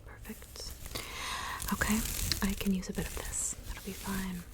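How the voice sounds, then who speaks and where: A woman playing a soft-spoken witch in an ASMR roleplay, whispering breathily and unhurriedly, as if to a client close by. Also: medium pitch, flat delivery, close to an everyday voice, low-quality recording. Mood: gentle, attentive. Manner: unhurried, intimate, addressing the listener as a client. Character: soft-spoken witch mixing love potions in her cabin.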